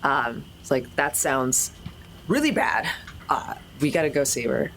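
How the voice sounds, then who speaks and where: Really deep voiced woman, game player, talks haltingly, faint background noise. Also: expressive, gravelly, a bit bright. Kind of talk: casual in-character chat.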